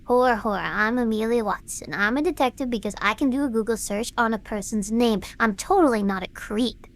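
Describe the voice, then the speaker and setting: A woman plays a young female streamer in an audio drama, hurt and combative. Her voice sits on the high side, and she talks with sarcasm, pushing back at whoever she faces. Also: fairly quick, lightly acted. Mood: mocking. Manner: confrontational, sarcastic.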